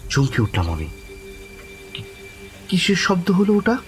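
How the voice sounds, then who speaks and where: A man playing a courteous young man who narrates his own eerie encounter in a horror audio story, lingering and expressive. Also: sits high, unhurried, loud music behind the voice, exaggerated voice. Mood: uneasy. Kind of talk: dramatic first-person horror story narration.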